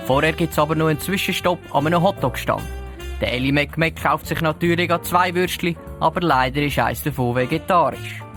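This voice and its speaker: A man's voice: a little high, a little fast, fairly bright tone, soft background music. A man with a Swiss German accent narrating an audio drama from a script.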